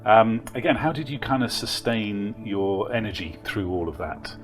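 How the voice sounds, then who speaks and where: A man interviewing a younger churchgoer, asking gentle questions and adding encouraging affirmations. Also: fairly low pitch, expressive, normal speed. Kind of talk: casual interview.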